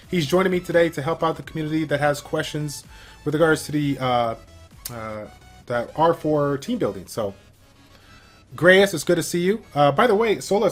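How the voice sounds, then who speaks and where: Guy hosting a game stream, kinda high voice, lots of ums, faint music behind. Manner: chatty, many fillers, reads viewer questions aloud. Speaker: stream host. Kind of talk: casual livestream chat, reading chat questions.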